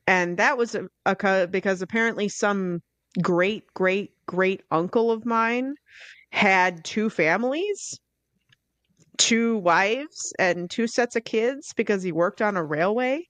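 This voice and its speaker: A woman's voice: medium pitch, slow, a bit bright, dry recording. Wry, joking American woman co-host. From United States. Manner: wry, joking, laughs easily. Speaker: podcast co-host. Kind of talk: casual podcast chat.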